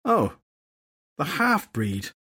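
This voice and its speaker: A man's voice: fairly high pitch, lively intonation, moderate pace, breathy edge, pitched above the performer's own voice. A man voicing a fierce, proud fairy goddess who speaks haughtily. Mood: disdainful. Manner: haughty, imperious.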